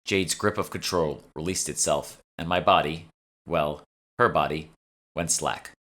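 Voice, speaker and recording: A man's voice: rumbling low, unhurried, fairly bright tone. A man reading his own fantasy novel aloud as scripted first-person audiobook narration. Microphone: close USB studio microphone.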